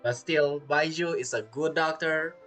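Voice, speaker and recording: A man's voice: a little high, a little slow, a bit bright. A man giving casual, chatty gameplay commentary, reacting informally as he plays. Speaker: game streamer. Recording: game music behind voice.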